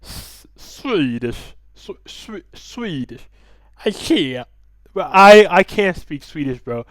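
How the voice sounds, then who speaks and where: A man's voice: fairly high pitch, very animated, slow pace, a bit bright, no room sound, rough recording. A young man giving casual, slangy reaction commentary in an informal way. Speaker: reaction video host.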